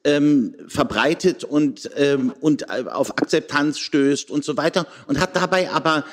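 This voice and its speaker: A man's voice: fairly high pitch, unhurried. A man speaking reflectively as a panel guest, hesitantly searching for his phrasing. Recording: long hall reverberation.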